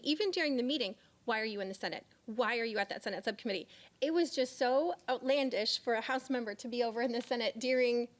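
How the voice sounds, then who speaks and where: Lady legislator chatting at a forum, lots of ums and restarts, kinda high voice. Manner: chatty, self-deprecating, many fillers and restarts. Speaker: state representative. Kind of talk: public forum remarks.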